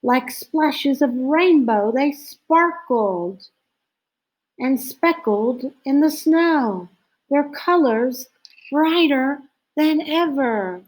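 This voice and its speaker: A woman reading a children's story aloud in an expressive, storytelling manner with drawn-out phrases. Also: sits high, poor audio. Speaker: storybook reader.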